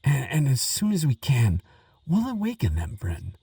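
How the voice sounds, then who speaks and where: A man playing an earnest, excitable archaeologist who talks gently to a friend, stammering and musing with wonder, in a relaxing audio roleplay. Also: medium pitch, moderate pace, gravelly, slightly rough, no room sound, clean studio sound, distant mic, exaggerated voice. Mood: apologetic, reassuring. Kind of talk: relaxing scripted audio roleplay, spoken to the listener.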